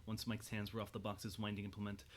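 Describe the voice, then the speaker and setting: A man narrating a scripted dramatic story in a measured, suspenseful way. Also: lowish voice, little pitch movement.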